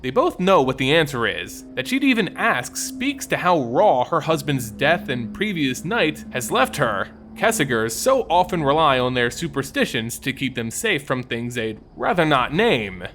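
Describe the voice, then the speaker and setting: A man narrating a scripted story in a theatrical, suspenseful manner with deliberate phrasing. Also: mid-range voice, sing-song, a bit bright, soft background music.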